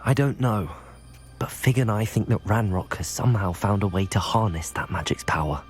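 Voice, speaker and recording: A young man playing a calm, careful student wizard hero in a video game, speaking in a measured, matter-of-fact way. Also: on the low side, soft background music, natural voice. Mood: confiding, careful. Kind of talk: video game cutscene dialogue.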